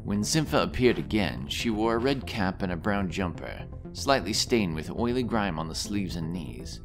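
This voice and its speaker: A man's voice: low voice, very animated, moderate pace, over music. A man narrating a scripted fantasy adventure story as the narrator of an audio drama.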